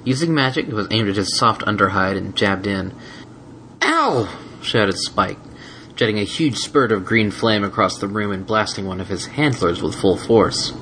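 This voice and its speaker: A man narrates a written story in a fairly low voice. He reads as an audiobook narrator does, and the recording carries a little background noise. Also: moderate pace, fairly bright tone, poor audio. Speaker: audiobook reader.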